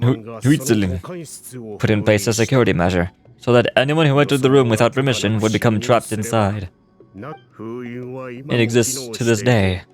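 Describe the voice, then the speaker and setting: A man's voice: mid-range voice, lightly acted. Formal scholarly man acted out by a male reader. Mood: measured explaining. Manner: formal, measured, expository. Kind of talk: reading game quest dialogue aloud. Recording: music playing behind the voice.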